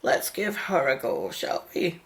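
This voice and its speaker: A woman's voice: rumbling low, big pitch swings, unhurried, heavily acted, poor audio. A woman playing a practical, polite, reassuring mother in a radio play.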